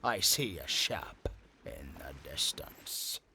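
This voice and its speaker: An actor playing a bold, bloodthirsty pirate gentleman in a nautical audio drama, with a raspy voice and a theatrical, swaggering manner. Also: extremely low pitch, animated, slow, slight background noise, full character voice. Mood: alert, urgent. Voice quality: gravelly, raspy. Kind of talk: cinematic nautical audio roleplay acting.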